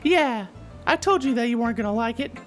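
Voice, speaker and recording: A woman's voice: on the high side, lively intonation, brisk, lightly acted. A woman voicing a bratty, smug, cutesy young schoolgirl in a visual novel, blunt and defensive. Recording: game music under the voice.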